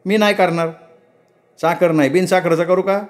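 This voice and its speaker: A man putting on a sharp-tongued village wife. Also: high voice, steady tone, moderate pace, voice pushed up, natural voice. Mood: sharp, irritated. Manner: curt, scolding retorts.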